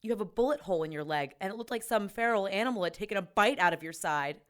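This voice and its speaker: An actress plays a tough female ex-cop in an audio drama. Her voice is medium-pitched and she delivers her lines in a blunt, sardonic, natural way. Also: lively intonation, quick pace, close to an everyday voice. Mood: worried, guarded. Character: tough, sarcastic ex-cop woman, blunt and exasperated.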